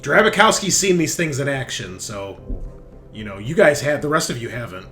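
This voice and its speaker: A man's voice: mid-range voice, big pitch swings, normal speed. A man narrating a tabletop roleplaying game as game master, improvising in a casual, conversational way. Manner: casual, conversational, acts out characters' lines. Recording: loud background music. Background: music.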